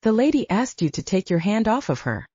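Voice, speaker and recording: A brooding, restrained supernatural gentleman as acted by a woman in a romance audiobook. He speaks softly and formally, with a mellow tone in a dry, slightly dull recording. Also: sing-song, brisk, slightly rough, no echo. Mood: quietly menacing. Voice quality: soft, low. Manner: formal, grave, controlled. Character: brooding soft-spoken male supernatural lover, formal and restrained. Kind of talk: audiobook character dialogue.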